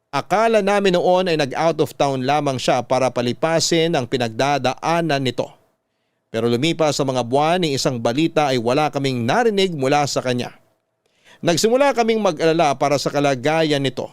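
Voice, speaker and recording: A Filipino man reads a scripted personal story as a radio narrator. His voice is smooth and resonant, a bit high for a man, solemn in delivery and recorded close and dry. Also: normal speed, no room sound.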